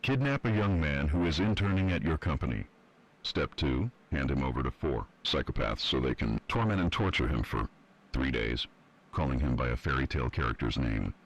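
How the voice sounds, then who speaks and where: A man playing a cold, sadistic villain who reads out instructions deliberately in an analog horror video. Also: animated, heavily acted, low-quality recording. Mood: cold, menacing. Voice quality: very deep, husky. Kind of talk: scripted step-by-step horror narration.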